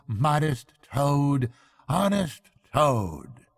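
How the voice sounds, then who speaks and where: A smug weasel orator from a storybook, acted out by an older man, squeaky and mock-pompous. He draws his words out slowly and mockingly, with a swooping pitch. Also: wildly swooping pitch, full character voice. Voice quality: high, squeaky.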